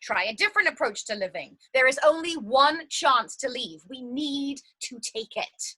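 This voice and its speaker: Woman acting a restless, bright, high-voiced dreamer. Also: lively intonation, normal speed, exaggerated voice. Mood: insistent. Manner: insistent, impassioned. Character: restless, yearning woman desperate to escape confinement. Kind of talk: stage play dialogue.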